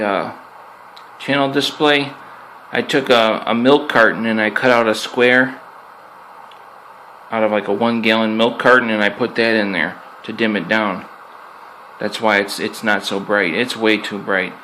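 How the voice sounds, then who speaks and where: A man's voice: fairly low pitch, pushed and bright, rough recording. A man giving a casual, unscripted hands-on review as a hobbyist, plainspoken and conversational, repeating himself for emphasis. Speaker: amateur product reviewer and radio hobbyist. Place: indoors in an apartment. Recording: amateur recording with clear background noise.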